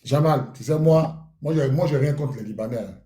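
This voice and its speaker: A man's voice: medium pitch, moderate pace, mellow, reverberant. A man hosting a live talk room, chatty and repetitive as he probes a caller with questions. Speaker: host. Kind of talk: live audio room moderation.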